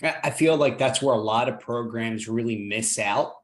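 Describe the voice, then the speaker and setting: A man's voice: mid-range voice, moderate pace. Guy hosting a real estate podcast, upbeat, keeps saying right?. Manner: upbeat, encouraging, lots of "right?" and "there". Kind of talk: casual podcast interview.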